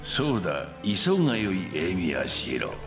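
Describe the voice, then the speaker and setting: Menacing echoing old schemer. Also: male voice, deep voice, big pitch swings, brisk, exaggerated voice. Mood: gloating urgency. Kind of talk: Japanese visual novel voice acting. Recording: echoing, distant game audio over loud music.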